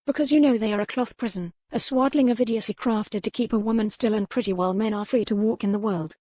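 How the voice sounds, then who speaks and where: A woman's voice: medium pitch, steady tone, fast, mellow, dry recording, clean studio sound, lightly acted. A woman voicing a defiant young lady captive in an audiobook romance, formal and pert with a challenging manner. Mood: stern, defiant. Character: defiant, sharp-witted young lady captive with indomitable will.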